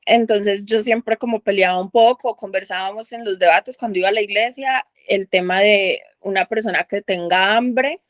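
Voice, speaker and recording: A woman's voice: medium pitch, normal speed, hardly any pauses, no echo, clean studio sound. A woman in a book club, talking through her thoughts in a rambling way with many fillers and restarts. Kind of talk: casual group discussion.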